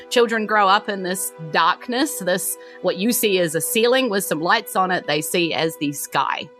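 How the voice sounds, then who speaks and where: A woman's voice: on the low side, pushed and bright. A woman hosting and narrating a tabletop role-playing game. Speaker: game master and host of a tabletop RPG podcast. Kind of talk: narrating a tabletop game, part recap, part casual chat.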